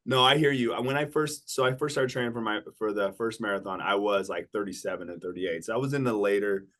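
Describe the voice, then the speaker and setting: Guest, male, forties, low-ish voice, calm and confident. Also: fast. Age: 40s. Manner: steady, self-assured, tag questions. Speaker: podcast guest, entrepreneur. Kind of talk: casual interview talk.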